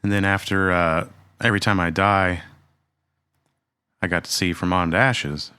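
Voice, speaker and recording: A young man co-hosting a podcast, telling stories in a relaxed way with lots of fillers. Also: sits low, normal speed, clear, resonant tone, no room sound, studio quality. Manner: relaxed storytelling, many fillers. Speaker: podcast co-host. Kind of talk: casual chat.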